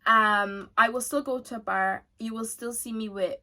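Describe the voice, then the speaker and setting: A young woman hosting a casual girl-talk chat, talking quickly and animatedly about her life. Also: fairly high pitch, steady tone, bright, forceful tone. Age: young adult. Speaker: host.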